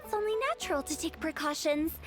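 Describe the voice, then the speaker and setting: A woman's voice: high-pitched, fast, over music, natural voice. A woman playing an eccentric, mischievous young funeral director in a video game, with a theatrical, teasing, persuasive delivery. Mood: pushy sales pitch. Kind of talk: video game character acting.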